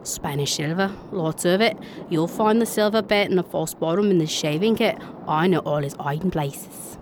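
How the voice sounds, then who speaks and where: An actress playing a shrewd, secretive young servant lass aboard a ship in an audio drama, speaking in uneducated period dialect. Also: female voice, on the low side, normal speed, noisy background, lightly acted. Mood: guarded, pleading.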